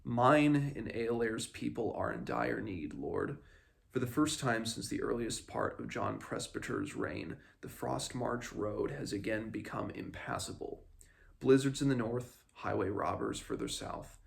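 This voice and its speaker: A man's voice: medium pitch, measured pace, gravelly. A man reading a fantasy novel aloud as a blunt, grave lord making a formal, firm appeal to his king. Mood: grave urgency.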